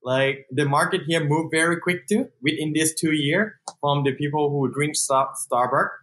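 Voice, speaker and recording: A man's voice: fairly high pitch, moderate pace, a bit bright, rough recording. A young Thai man with a Thai accent, chatting in an interview in non-native English, full of "like" and restarts, laughing easily. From Thailand. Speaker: guest entrepreneur. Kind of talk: casual interview.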